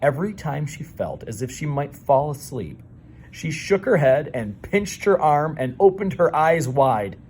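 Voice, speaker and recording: A man reading a children's story aloud, with measured delivery and dramatic pauses. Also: a little high, big pitch swings, a little mellow, slightly rough. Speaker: storybook reader. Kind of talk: expressive children's read-aloud narration.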